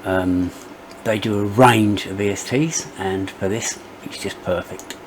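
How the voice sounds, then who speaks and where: A middle-aged man narrating a step-by-step software tutorial as its presenter. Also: lowish voice, steady tone, unhurried, background noise.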